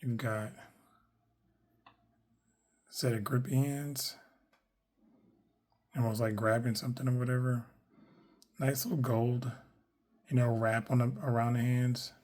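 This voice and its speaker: A man with a hoarse, worn voice, chatting casually through a toy unboxing, rambling with lots of fillers and self-corrections. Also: medium pitch, steady tone, a little slow, long pauses, darkish tone. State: hoarse, losing his voice. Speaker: toy collector reviewing action figures.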